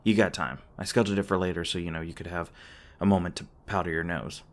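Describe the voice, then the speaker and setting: A man's voice: deep voice, steady tone, fast. A man playing a teasing, crass but caring demon boyfriend in a conversational audio roleplay, talking casually with some hesitation. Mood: casual, sleepy. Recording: clearly noisy background with ambient sound effects.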